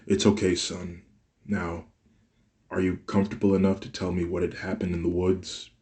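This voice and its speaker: A man voicing a gentle, grieving mother in a read-aloud fan story, speaking softly and hushed. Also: flat delivery, moderate pace, natural voice. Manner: gentle and hushed, turns shrill when upset. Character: grieving soft-spoken mother, woman.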